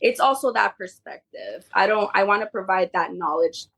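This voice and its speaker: A woman's voice: mid-range voice, normal speed, creaky, fairly bright tone, husky. A woman whose first language is Spanish, chatting informally as a podcast guest about her work. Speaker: podcast guest, behavior therapy tutor. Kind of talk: casual conversational interview.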